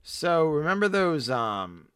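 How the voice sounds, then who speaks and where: A man in casual chat, rambling with lots of fillers and swearing, hoarse as he loses his voice. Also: on the high side, big pitch swings, takes it slowly, fairly bright tone, dry recording, studio quality. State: losing his voice. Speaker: podcast co-host.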